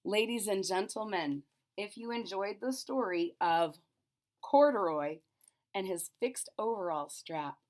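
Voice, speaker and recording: Female children's storyteller: expressive, animated intonation, unhurried pace, simple explanatory asides for young listeners. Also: medium pitch.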